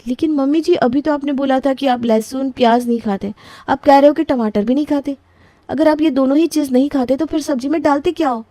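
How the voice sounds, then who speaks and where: A woman plays a harried young daughter-in-law in a family storybook cartoon. Her voice is high and soft-toned, pleading and grumbling by turns. The recording is dry and close. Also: fairly quick, no room sound, lightly acted. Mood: defensive. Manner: flustered, pleading, whiny complaining. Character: young newlywed daughter-in-law, flustered and put-upon. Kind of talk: scripted family story voicing.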